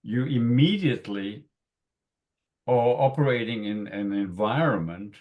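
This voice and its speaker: A man's voice: fairly low pitch, measured pace, lo-fi sound. A man speaking emphatically in a spiritual group discussion, repeating phrases for effect.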